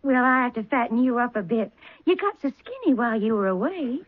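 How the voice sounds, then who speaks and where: A woman's voice: on the high side, very animated, fairly quick, no room sound. An actress playing a fussing, homely mother who spoils her grown daughter. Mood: doting. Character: doting, chatty mother. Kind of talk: 1970s radio drama acting. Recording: tinny old radio broadcast.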